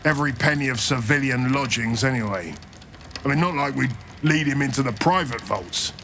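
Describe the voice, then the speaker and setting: A man's voice: mid-range voice, animated, normal speed, fairly bright tone, a little hiss or hum. A man voicing a self-serving, greedy banker in a video game, with a wry, glib, sarcastic manner. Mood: persuasive.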